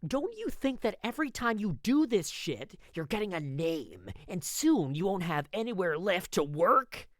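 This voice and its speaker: Western audio story: male actor as exasperated younger man, higher-pitched, emphatic, scolding. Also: big pitch swings, a little slow, hardly any pauses, dry recording, clean studio sound. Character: exasperated, hot-tempered younger man in the Old West. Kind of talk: audio story character acting.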